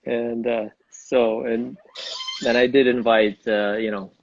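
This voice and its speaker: A man whose first language is Russian, hosting a casual online chat as an English teacher, friendly and halting with many restarts. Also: medium pitch, little pitch movement, no room sound. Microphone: video call. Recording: dull, dry video-call audio.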